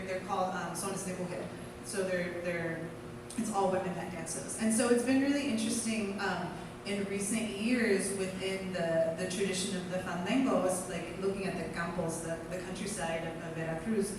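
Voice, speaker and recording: A woman speaking as a dancer on a live panel, explaining at length with many restarts and fillers. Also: lowish voice. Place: theater stage. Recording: echoey hall, distant mic, noise.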